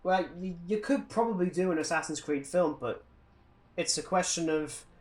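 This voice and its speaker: A man's voice: sits high, moderate pace, a little mellow. A man co-hosting a podcast, chatting casually about films and games in a blunt, opinionated way. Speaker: podcast co-host. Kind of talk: casual film and game chat.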